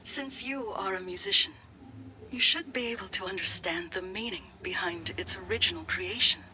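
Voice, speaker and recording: A woman playing a serene, dreamy young woman in a video game, speaking in a deliberate, lyrical way. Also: mid-range voice, pushed and bright, a little hiss or hum, low-quality recording. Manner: slow, deliberate, lyrical delivery. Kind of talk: English video game voice acting.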